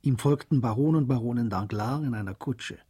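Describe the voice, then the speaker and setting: A man's voice: medium pitch, fairly quick, dark tone, close to an everyday voice. A man narrating a German radio drama as a composed literary storyteller. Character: composed storyteller of a period novel.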